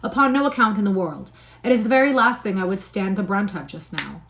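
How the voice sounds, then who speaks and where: A woman voicing a clever, proud young lady with a quick, mocking wit. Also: medium pitch, lively intonation, a bit bright. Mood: teasing. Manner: brisk, mocking.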